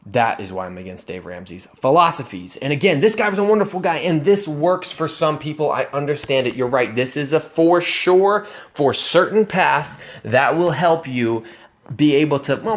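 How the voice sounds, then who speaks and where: A man's voice: a little high, sing-song, normal speed. A man in his twenties vlogging earnestly about personal finance over slides, conversational with restarts and rising emphatic bursts of conviction. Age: 20s. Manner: conversational with restarts and self-corrections.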